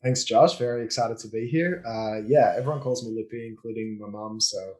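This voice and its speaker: A man in his thirties with an Australian accent, a guest on a business podcast, explaining in long, relaxed sentences full of fillers. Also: fairly low pitch, fairly quick. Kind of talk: casual podcast interview.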